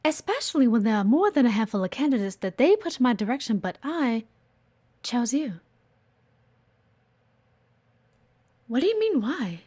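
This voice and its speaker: A woman's voice: a little high, big pitch swings, fairly quick, warm tone, breathy edge. A woman playing a blunt, guarded but earnest executive assistant in an audio roleplay, assertive and exasperated. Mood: resigned, frustrated. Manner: exasperated, assertive, frequent sighs. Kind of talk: audio roleplay acting addressed to the listener.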